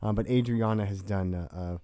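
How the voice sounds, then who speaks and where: A man co-hosting a local podcast, upbeat and halting with fillers. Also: fairly low pitch, steady tone, warm tone, smooth, dry recording, studio quality. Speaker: podcast co-host. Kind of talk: casual podcast chat. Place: small podcast studio.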